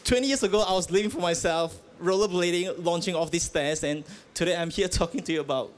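A man's voice: high-pitched, fast talker. A man from Malaysia, a pastor, answering interview questions earnestly, with hesitant restarts and fillers, outdoors at a festival. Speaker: pastor, guest.

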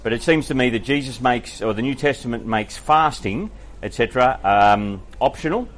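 A man's voice: mid-range voice, expressive, normal speed. A man, a pastor, answering faith questions from the congregation in a thoughtful, hesitant way with many fillers. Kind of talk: Q&A sermon.

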